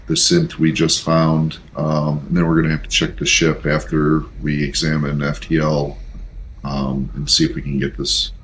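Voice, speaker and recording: A man with a remarkably deep voice plays a tabletop roleplaying game. He speaks in a measured, directive manner, like someone in charge. A little noise sits behind the voice. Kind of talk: in-character planning in casual game talk.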